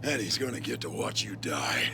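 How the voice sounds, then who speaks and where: An actor voicing a sadistic, mocking villain in a military game, gravelly and taunting. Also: male voice, lowish voice, sing-song, loud music behind the voice. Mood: mocking menace. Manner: taunting, drawn-out menace. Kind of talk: cinematic military game acting.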